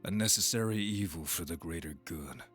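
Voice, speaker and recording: Man acting a very deep-voiced, sneering young monster hunter. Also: a bit bright, soft background music. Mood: defiant contempt. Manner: sneering, contemptuous, deliberate. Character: scornful young male monster hunter, haughty estranged brother. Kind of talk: audio roleplay drama.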